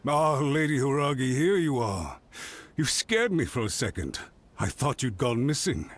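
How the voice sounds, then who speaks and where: Highly strung, husky-voiced retainer. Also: male voice, very animated, measured pace. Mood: suspicious, anxious. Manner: dramatic, formal, highly strung. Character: anxious, overprotective male retainer of a noble lady. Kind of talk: anime video game acting.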